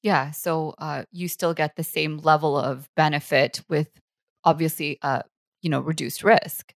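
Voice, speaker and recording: A woman hosts a casual interview and asks her guest questions in a loose, chatty way, full of fillers. Her voice is very low for a woman. The sound is dry and close, with no room echo. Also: fairly even intonation, a little slow, vocal fry. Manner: conversational, with hedging fillers. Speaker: interview host.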